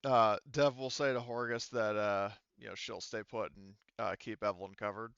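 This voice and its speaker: Man, game master, casual halting narration, smooth resonant tone, dry slightly dull recording. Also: fairly low pitch, steady tone, fairly bright tone, no echo.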